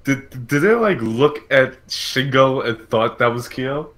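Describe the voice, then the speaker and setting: Man, medium pitch, casual podcast host, rambling, halting, repeats words. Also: expressive, a bit bright. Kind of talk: casual chat on a live-streamed video game podcast.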